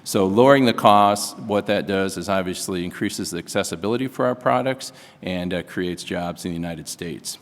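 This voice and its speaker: A man reading prepared testimony as a witness at a congressional hearing, in a measured, formal way. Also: low-pitched, long reverb tail.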